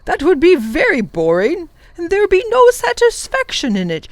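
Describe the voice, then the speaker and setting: A woman voicing a kind, wise elderly male professor who speaks in a warm, reassuring and firm way. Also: extremely high pitch, huge pitch swings, moderate pace, no echo, voice pushed up, exaggerated voice. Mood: encouraging.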